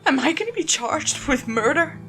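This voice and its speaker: A woman's voice: high voice, sing-song, moderate pace, voice pushed up. A woman voicing a shaken, panicked suspect in a horror story, stammering and tremulous as they plead with police. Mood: nervous, shaky. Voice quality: tremulous, breathy gasps. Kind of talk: dramatic horror story reading.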